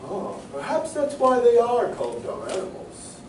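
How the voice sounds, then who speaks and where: An actor playing a pompous, wordy, food-obsessed cartoon moocher in old radio comedy style. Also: male voice, very high-pitched, huge pitch swings, normal speed, crowd noise, full character voice. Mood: lofty. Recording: roomy stage recording, far mic, faint noise.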